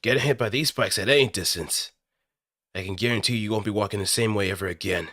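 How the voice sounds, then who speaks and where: A man's voice: fairly low pitch, fast, bright, forceful tone, no echo, clean studio sound. A man voicing a cocky, fired-up mecha pilot delivering theatrical, punchy battle lines in anime robot game style. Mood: menacing boast.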